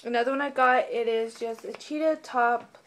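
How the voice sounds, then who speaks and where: Young woman chatting, voice going hoarse. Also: fairly high pitch, steady tone, normal speed, a bit bright. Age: young adult. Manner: bubbly, rambling, lots of "like" and "um". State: losing her voice, getting sick. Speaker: vlogger. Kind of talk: casual haul video chat. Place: bedroom, indoors.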